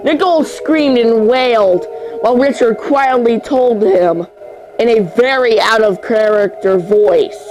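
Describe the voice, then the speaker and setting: An amateur narrator reading a horror story aloud in a halting way, tripping over words and repeating phrases. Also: medium pitch, rough recording. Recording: music under the voice. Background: music.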